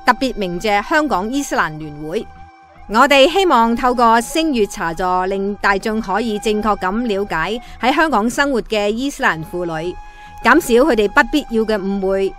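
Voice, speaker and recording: A woman's voice: medium pitch, lively intonation, normal speed, soft background music. A woman with a Hong Kong Cantonese accent reading a scripted announcement as a radio drama announcer.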